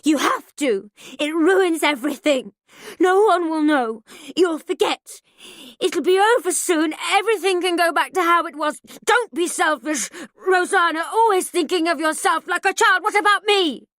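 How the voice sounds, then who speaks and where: A woman's voice: very high-pitched, normal speed, fairly bright tone, no echo, studio quality, voice pushed up. A woman voicing a frantic, controlling middle-aged mother who pleads and then screams. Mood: furious.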